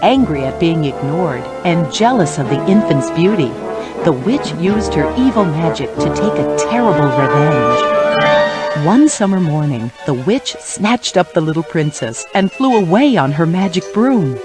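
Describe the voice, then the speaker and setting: A woman playing a kindly fairy godmother, gently narrating a children's storybook game. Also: low voice, sing-song, measured pace, loud music behind the voice. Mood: gentle storytelling. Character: kindly female fairy godmother telling fairy tales.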